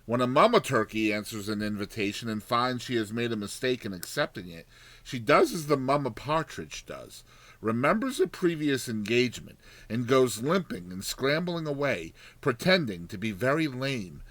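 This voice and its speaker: A man's voice: medium pitch, big pitch swings, moderate pace, fairly bright tone, dry recording. A man narrating a classic short story as a dramatized audiobook, with theatrical, emphatic phrasing.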